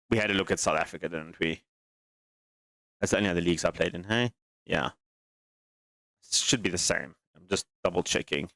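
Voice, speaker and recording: A man's voice: low voice, a bit bright, no room sound. A man hosting a gaming channel, casually commenting on his game save as he thinks aloud, rambles and questions himself.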